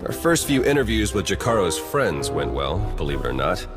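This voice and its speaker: A pragmatic young spy in a game cutscene. His voice sits low, with a dry, casual, matter-of-fact delivery. Music plays clearly behind him. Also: very animated, brisk. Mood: wry. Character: wry, pragmatic male spy agent, a young adult. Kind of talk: video game voice acting. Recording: loud game music underneath.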